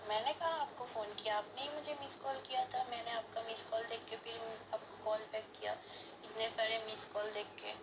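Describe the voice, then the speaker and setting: A woman's voice: on the high side, fairly even intonation, fairly quick, noisy background. A woman speaking as a prank caller, delivering flirty, scripted lines in an insistent, questioning way.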